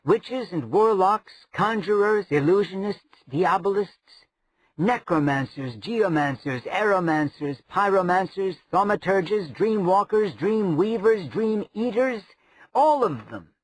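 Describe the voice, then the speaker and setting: A man voicing a sharp-tongued, scornful adventuring woman with a dark, wry manner. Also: high voice, animated, slow, no echo, voice pushed up, exaggerated voice.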